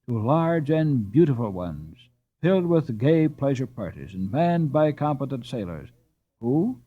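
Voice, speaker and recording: Animated male storybook reader, mellow tone. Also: medium pitch, lo-fi sound. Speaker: audiobook reader. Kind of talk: scripted storybook narration.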